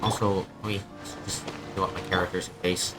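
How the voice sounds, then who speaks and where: A man's voice: low voice, level tone, brisk, poor audio. A man streaming a video game, casually muttering and thinking aloud as he plays. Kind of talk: casual gameplay commentary. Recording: game music behind the voice. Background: video game music.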